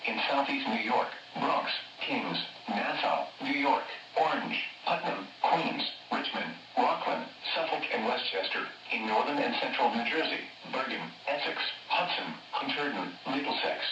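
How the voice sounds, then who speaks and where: A synthetic male announcer reading a scripted public service message in a formal, measured, impersonal way on weather radio. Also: medium pitch, expressive, bright, forceful tone, muffled recording. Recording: roomy, noisy weather radio broadcast captured from a distance.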